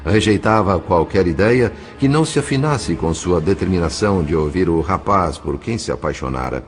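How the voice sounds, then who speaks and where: A Brazilian man playing a grave spirit narrator in an audio novel, telling a tragic story in a solemn, literary, measured way. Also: low voice. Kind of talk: dramatised scripted narration.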